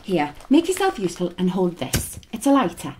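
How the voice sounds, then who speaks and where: Feisty, very animated archaeologist. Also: female voice, on the low side, a little fast, a little hiss or hum. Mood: matter-of-fact. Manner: feisty, teasing, matter-of-fact. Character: plucky, practical, sharp-tongued female archaeologist. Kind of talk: audio drama acting.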